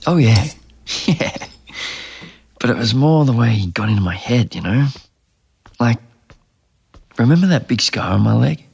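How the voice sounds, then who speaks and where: An actor playing a troubled, self-doubting young man, husky and gravelly, speaking in a casual, self-deprecating way in a naturalistic audio drama. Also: medium pitch, lively intonation, measured pace, pauses often, a little mellow, heavily acted. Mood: wry, bitter. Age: young adult.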